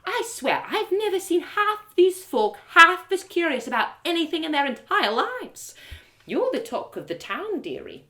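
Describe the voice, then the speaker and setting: Woman playing a high-pitched, animated gossipy innkeeper. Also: measured pace, exaggerated voice. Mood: merry gossip. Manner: folksy, effusive, rustic turns of phrase. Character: gossipy motherly innkeeper woman, merry but quick to outrage.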